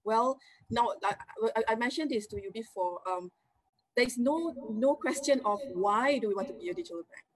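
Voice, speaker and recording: A woman with a Malaysian accent, Malay her first language, answering interview questions as a company executive in an energetic, emphatic way, listing points and adding tag questions. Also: fairly high pitch, normal speed, dry recording, low-quality recording. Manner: energetic, emphatic, lists points with rising tags.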